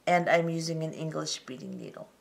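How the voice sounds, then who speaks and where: A middle-aged woman hosting a step-by-step beading tutorial, talking calmly and methodically with frequent ums. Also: low-pitched, fairly quick, vocal fry. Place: tabletop craft workspace, indoors.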